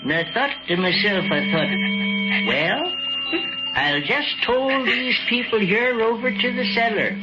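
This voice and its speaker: Old backwoods american geezer, slow mocking drawl, old time radio drama, loud music behind. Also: male voice, high voice, expressive, dull audio. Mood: calm, mocking. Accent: rural American. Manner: drawling, taunting, unhurried. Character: folksy, coldly amused old rural man, self-appointed judge. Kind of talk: 1940s radio drama acting.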